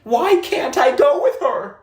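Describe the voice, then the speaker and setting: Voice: high voice, sing-song, slow pace, voice pushed up, heavily acted. A storybook narrator voicing a frightened, polite young refugee boy, earnest and anxious. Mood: panicked. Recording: echoey, roomy sound.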